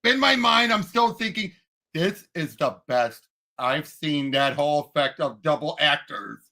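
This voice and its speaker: A man co-hosting a casual movie discussion podcast, talking emphatically and excitably and jumping in often. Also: on the high side, a little slow. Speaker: podcast co-host.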